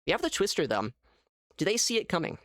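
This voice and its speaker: A man giving casual live gameplay commentary as a streamer, thinking aloud in an animated way. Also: high voice, fast talker, no echo, studio quality. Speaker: gaming streamer.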